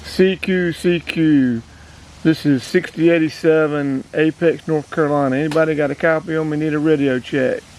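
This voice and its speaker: Higher-voiced man, casual and folksy. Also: fairly even intonation, normal speed, darkish tone, slight background noise, poor audio. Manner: folksy, addresses viewers directly. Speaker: outdoors channel host and radio operator. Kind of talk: casual hands-on demonstration vlog.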